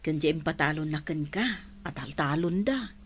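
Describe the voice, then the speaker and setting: A woman's voice: sits low, normal speed, breathy edge. A woman playing a patient, hardworking village mother, practical and moralizing, in a radio drama. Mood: hopeful.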